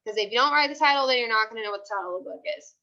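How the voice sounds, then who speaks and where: A woman's voice: fairly high pitch, very fast, pushed and bright, muffled recording. A woman teacher giving a read-aloud lesson to third graders, emphatic and repeating her instructions.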